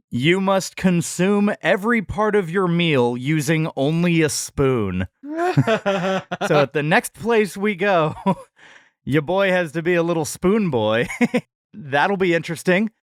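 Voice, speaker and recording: Expressive man bantering on a podcast. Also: a little high, slow, long pauses, dry recording, clean studio sound. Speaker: podcast co-host. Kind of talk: casual banter, restaurant review chat.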